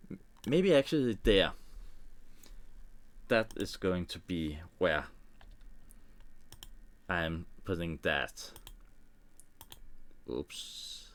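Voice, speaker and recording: Deep, slow Danish-accented man. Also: big pitch swings. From Denmark. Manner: halting, thinking aloud, small grammar slips. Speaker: streamer. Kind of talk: live-streamed photo editing walkthrough. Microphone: desk microphone.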